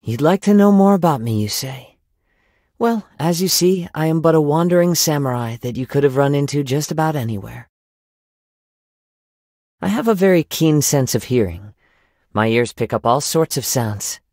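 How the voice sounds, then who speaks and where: A young man playing a gentle, poetic wandering samurai in a video game, soft-spoken and reflective, with formal, measured phrasing. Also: a little high, lively intonation, dry recording, clean studio sound. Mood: calm, modest. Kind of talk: video game character voice lines.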